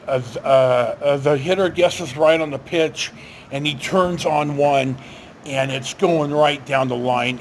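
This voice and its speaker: A man's voice: a little high, a little hiss or hum. A middle-aged American man telling rambling personal stories in a casual, folksy, blunt and salty way. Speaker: storyteller, vlog host. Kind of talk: rambling casual anecdotal storytelling.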